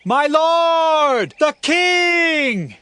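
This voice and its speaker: A man playing an impassioned, loyal warrior pleading with his king in a dramatized scripture recording, in drawn-out declamatory archaic phrases. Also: very high voice, very animated, sharp tone, no echo, exaggerated voice.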